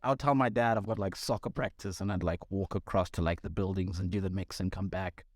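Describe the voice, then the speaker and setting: A man's voice: deep voice, steady tone, no room sound, studio quality. A man in his twenties, a music producer, chatting casually and joking with easy laughs. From Zimbabwe. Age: 20s. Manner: laid-back, jokey, quick to laugh. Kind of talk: casual chat.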